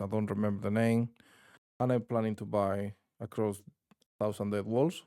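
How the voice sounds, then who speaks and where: A man's voice: on the low side, creaky, dark tone, dry recording, clean studio sound. A man from Spain with a strong Spanish accent, hosting his tabletop gaming channel in casual, rambling talk with many restarts. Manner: rambling, frequent restarts and fillers. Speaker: channel host.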